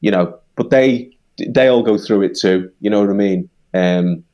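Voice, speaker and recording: A man's voice: on the low side, normal speed, warm tone, lo-fi sound. A man with a Liverpool accent, a songwriter co-hosting a podcast, talking earnestly and repeating himself as he finds his words. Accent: Liverpool English. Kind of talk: casual chat.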